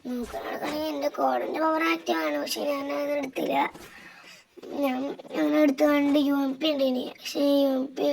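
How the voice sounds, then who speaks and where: A gamer with a northern Kerala Malabar accent gives casual, halting commentary, recounting gameplay moves step by step. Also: sits high, level tone, vocal fry, poor audio. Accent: northern Kerala Malabar Malayalam.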